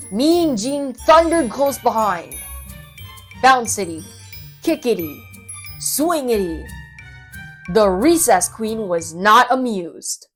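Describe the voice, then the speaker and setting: A woman narrates a children's story in a fairly high, very lively voice. She is dramatic and playful, taking her time with the rhythm. Prominent children's music plays behind her. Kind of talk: expressive children's read-aloud narration.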